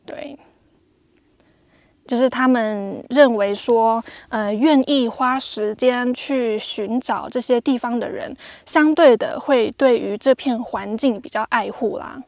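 A woman's voice: a little high, takes it slowly, a little mellow, no room sound. A young woman casually chatting and explaining clues in a travel vlog, recorded outdoors in desert badlands with a lapel mic. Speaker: travel vlogger. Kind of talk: casual chat.

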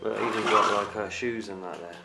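Guy poking round an old empty house, lowish voice, excited, music playing. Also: brisk, creaky. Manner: excited, exclamatory. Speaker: urban explorer. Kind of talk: casual exploring commentary. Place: abandoned house indoors. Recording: music underneath. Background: background music.

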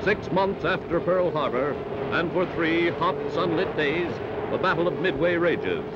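A man's voice: on the high side, expressive, a little slow, heavy background noise. Dramatic American 1940s newsreel man, dull old soundtrack. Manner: dramatic, emphatic delivery. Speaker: newsreel narrator. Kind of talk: 1940s newsreel narration, scripted.

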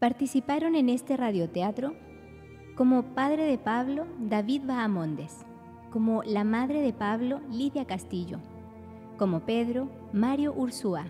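A woman's voice: a little high, warm tone, big-room sound. A woman narrating a religious radio drama from a script.

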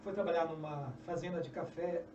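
A middle-aged man with a Brazilian Portuguese accent, a journalist and author telling history as a guest, animated and often restarting phrases, in a small podcast studio at a desk mic. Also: fairly high pitch, brisk. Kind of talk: storytelling interview answers. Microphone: desk mic on a stand. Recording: echoey, distant, dull, noisy.

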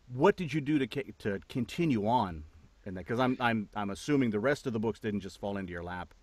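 Jokey middle-aged podcast host, dry studio. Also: male voice, lowish voice, animated, brisk, a little mellow, no echo. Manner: jokey, friendly, asks questions. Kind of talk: casual interview chat. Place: home studio with foam panels. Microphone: studio condenser mic.

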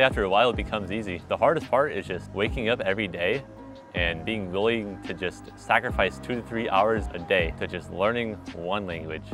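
A young man with a fairly low voice talks to the camera on a city street at night. He is wry and animated, and he speaks into a small clip-on mic. Soft music plays underneath. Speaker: vlogger. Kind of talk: vlog monologue to camera. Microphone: small clip-on mic on his shirt.

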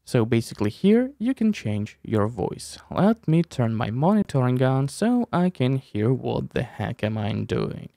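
Slow, animated young man, creaky voice. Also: medium pitch, no echo, studio quality. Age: young adult. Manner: informal, step-by-step explaining with playful asides. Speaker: tutorial host, streamer. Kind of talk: casual software tutorial. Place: small room at a desk, indoors. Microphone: close desk microphone with headphones.

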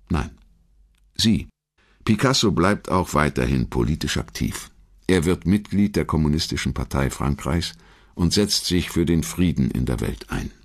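A man reading a scripted documentary narration in a measured, formal storytelling manner. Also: rumbling low. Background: background music.

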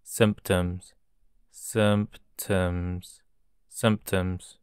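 Slow, flat male teacher for repeat-after-me drills, each word said three times. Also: deep voice, smooth, no room sound, studio quality. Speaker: English teacher. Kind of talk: slow drilled vocabulary reading.

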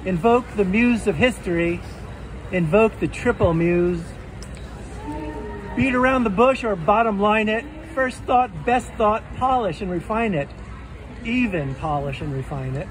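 A man reading his rhymed poems aloud with a deliberate rhythm on an outdoor festival stage. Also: high voice. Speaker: poet. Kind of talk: poetry reading. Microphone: stand mic on stage, filmed by phone. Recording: noisy outdoor phone recording. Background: outdoor street ambience.

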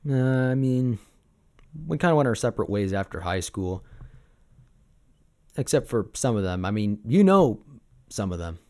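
An easygoing young sabertooth tiger boyfriend, played by a man, speaks in a fairly low voice. He reminisces casually in an ASMR roleplay monologue. His delivery is sentimental and a little hesitant, like everyday talk to a partner. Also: sing-song, normal speed, pauses often, dark tone. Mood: fond, nostalgic.

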